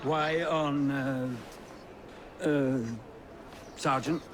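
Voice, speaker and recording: A man playing a bumbling, blustering Victorian policeman with an English accent and a gruff manner in a video game. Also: on the high side, flat delivery, extremely slow, crowd noise. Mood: flustered. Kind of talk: video game cutscene acting.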